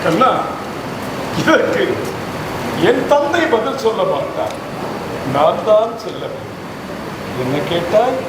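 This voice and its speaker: A man's voice: high-pitched, big pitch swings, slow, long pauses, very noisy, exaggerated voice. A man playing an arrogant, defiant prince on stage, declaiming with scorn. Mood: scornful. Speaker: stage actor. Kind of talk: stage play acting. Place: auditorium stage. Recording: echoey hall recording.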